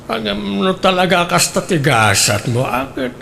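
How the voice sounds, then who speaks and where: A man playing a poor, coaxing parent in a comedic radio drama, with a pleading, drawn-out delivery. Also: high voice, big pitch swings, gravelly, pushed and bright, a little hiss or hum, full character voice. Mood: coaxing, resigned. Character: poor, coaxing parent counselling a grown child.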